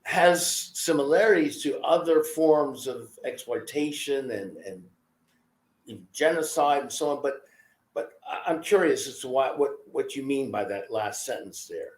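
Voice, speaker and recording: A man's voice: medium pitch, animated, a little slow. Older man hosting over a roomy video call. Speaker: host. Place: home room with bookshelves. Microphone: video call webcam.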